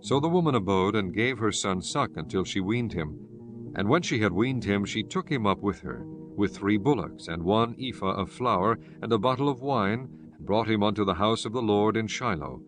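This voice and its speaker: A man narrating scripture from a script in a solemn, measured storytelling manner. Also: fairly low pitch, animated. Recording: music bed behind the voice.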